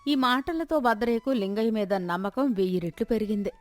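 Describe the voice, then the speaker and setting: A woman's voice: a little high, brisk. A woman reading a scripted moral story as its narrator.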